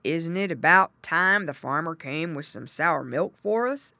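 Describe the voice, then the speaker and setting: A woman voicing a grunting father pig with drawn-out speech in a children's storybook. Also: sits low, animated, sharp tone, dry recording, exaggerated voice. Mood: hungry.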